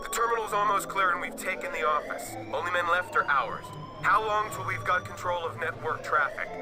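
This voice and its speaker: A man's voice: sits high, fairly quick, natural voice. A man playing a businesslike criminal operative reporting during an operation in a video game. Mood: tense. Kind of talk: cinematic game dialogue. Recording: music behind the voice.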